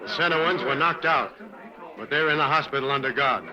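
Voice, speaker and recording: A man playing a brisk, businesslike male police inspector in a 1940s radio drama. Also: mid-range voice, pushed and bright, muffled recording. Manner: businesslike, direct. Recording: old radio recording with crowd.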